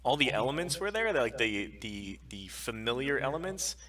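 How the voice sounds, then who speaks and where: A man's voice: mid-range voice, sing-song, moderate pace, sharp tone. A man co-hosting a casual chat stream, giving opinions in an emphatic, rambling way. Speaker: co-host of a weekly pop culture stream.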